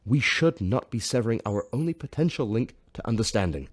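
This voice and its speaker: Man acting male sci-fi scientist, husky, lively intonation, formal academic diction, earnest and curious. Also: mid-range voice, lightly acted. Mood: fascinated, cautionary. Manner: formal, academic, measured wording. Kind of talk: sci-fi audio drama acting.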